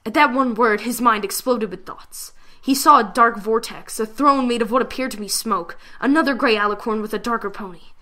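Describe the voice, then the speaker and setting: A woman reads a fan fiction story aloud as a dramatic narrator. Her voice is fairly high and very bright, with an expressive storytelling delivery. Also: fairly quick.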